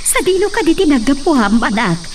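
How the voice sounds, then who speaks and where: A woman's voice: high-pitched, very animated, brisk, darkish tone. Woman doing a worried mom, fretful, faint hiss behind. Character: worried mother of a grown daughter. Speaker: actor. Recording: distant mic, faint noise.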